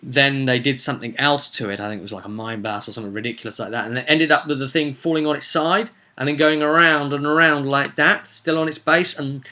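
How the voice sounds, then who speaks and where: A man with a bright, sharp-edged voice at an ordinary male pitch recaps a tabletop game as its game master. He tells it casually but dramatically, like an informal storyteller, repeating phrases to build suspense. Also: fairly quick, hardly any pauses.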